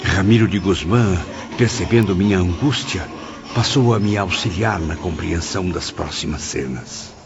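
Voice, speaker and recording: A man's voice: low voice, moderate pace, creaky. A man playing a compassionate, awed spirit who recounts his visions in an audio drama, earnest and troubled. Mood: troubled, sorrowful. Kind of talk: audio drama acting and narration. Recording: dull lo-fi audio, music bed.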